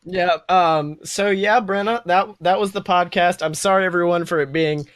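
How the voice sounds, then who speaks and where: A man's voice: high-pitched, steady tone, normal speed, fairly bright tone, dry recording, clean studio sound. A man hosting a podcast, telling improvised fantasy stories as game master and chatting. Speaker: podcast host. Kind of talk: improvised second-person fantasy storytelling and podcast chat.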